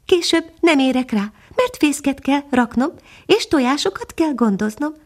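A woman voicing a modest little female nightingale in a storybook, speaking gently and patiently. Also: high-pitched, lively intonation, pitched above the performer's own voice. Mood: explaining. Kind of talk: storybook character voice.

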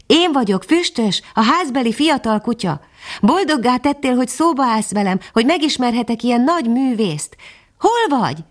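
A woman's voice: on the high side, animated, pitched above the performer's own voice. A woman voicing an eager, naive young male house dog in a storybook, earnest and wide-eyed. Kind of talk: storybook character voice.

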